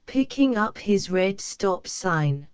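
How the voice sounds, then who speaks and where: A woman reading a children's picture book aloud, slow and careful for young learners. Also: mid-range voice, sing-song, over loud music. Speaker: storybook reader.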